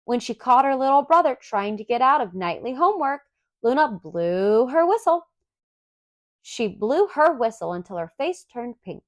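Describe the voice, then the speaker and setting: A woman reads a children's story aloud in an expressive, clearly enunciated storytelling manner. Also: a little high, normal speed, no room sound. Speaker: storybook reader.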